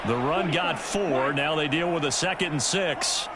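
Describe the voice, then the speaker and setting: A man's voice: a little high, unhurried, close to an everyday voice. A man playing a play-by-play football announcer in a video game, calling yardage and names in clipped, professional phrases. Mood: brisk, focused. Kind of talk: scripted sports game commentary. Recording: loud crowd and stadium game noise. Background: roaring crowd and game noise.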